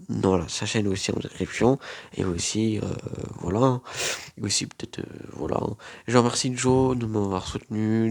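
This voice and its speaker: A man's voice: on the low side, creaky, darkish tone. A young man with a cold, rambling casually to camera as a YouTuber, with lots of fillers and repetitions. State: has a cold.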